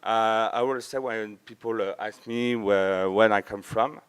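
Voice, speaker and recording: A man from France with a French accent, a native French speaker, giving an informal conference talk in halting English, often searching for words. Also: lowish voice, steady tone, smooth. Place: large auditorium with a few listeners, speaker at the front.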